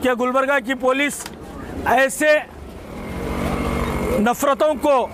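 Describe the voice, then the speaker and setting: A man's voice: very high-pitched, noisy background. A man delivers an impassioned, emphatic public statement in short declamatory phrases, as a community spokesman.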